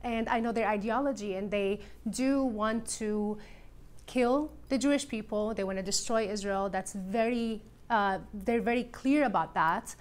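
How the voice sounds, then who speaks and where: A woman's voice: mid-range voice, measured pace. A woman in her thirties from Syria hosting a television interview in a studio, with a Levantine accent. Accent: Levantine Arabic. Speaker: host.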